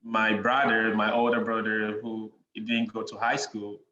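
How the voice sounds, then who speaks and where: A young man with a Ghanaian accent, a podcast guest chatting in a relaxed way, with many "you know" fillers and frequent chuckles. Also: fairly low pitch, measured pace. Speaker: guest, real estate investor. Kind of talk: casual interview conversation. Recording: dull lo-fi sound in an echoey room with faint noise.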